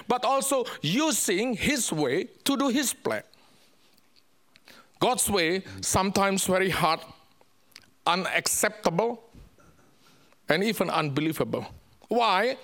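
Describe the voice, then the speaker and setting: A man from Indonesia with an Indonesian accent, a missionary preacher addressing a church in halting, simple non-native English. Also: high-pitched, lively intonation, a bit bright. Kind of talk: church sermon and ministry update.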